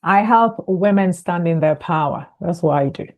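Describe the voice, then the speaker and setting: Middle-aged woman from Ghana, lower pitch, chatty podcast guest with easy laughs. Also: normal speed, darkish tone. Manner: chatty, laughs easily, many fillers and restarts. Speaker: guest, author and coach. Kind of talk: conversational podcast interview.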